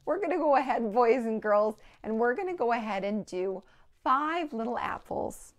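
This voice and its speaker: A woman in her thirties presenting a storytime for young children, with simple, clearly phrased rhymes and counting. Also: a little high, lively intonation, moderate pace. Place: indoor room with playhouse backdrop.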